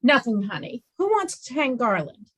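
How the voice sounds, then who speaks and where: A woman playing a high, lilting, coddling mom. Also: very animated, moderate pace, slightly rough. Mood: coaxing. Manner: sweetly coaxing, protective. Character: coddling, placating mother of a grown son. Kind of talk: comedy script table read.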